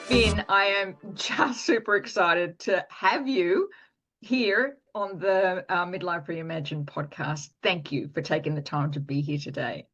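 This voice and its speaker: A woman's voice: fairly low pitch, measured pace, fairly bright tone, soft background music. A woman hosting a podcast, introducing and chatting with a guest in an enthusiastic, chatty manner. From Canada. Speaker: podcast host. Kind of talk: podcast interview.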